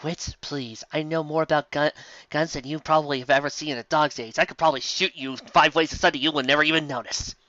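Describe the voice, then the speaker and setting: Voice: medium pitch, steady tone, fast talker, pushed and bright, no room sound, close to an everyday voice. A reader voicing an arrogant, sullen teenage heroine from fan fiction in a boastful, snide manner. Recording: dull lo-fi recording.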